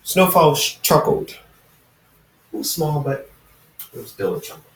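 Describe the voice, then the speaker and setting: A man reading fan fiction aloud as an amateur narrator, halting and often going back to repeat phrases. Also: on the high side, animated. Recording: roomy echo, distant from the mic.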